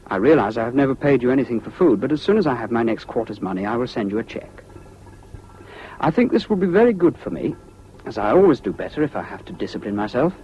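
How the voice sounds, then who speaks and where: A man's voice: medium pitch, very animated, quick pace, natural voice. An actor with a British accent playing an earnest, troubled man voicing an apologetic letter in a 1970s radio drama, with precise delivery. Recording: dull, noisy old radio recording.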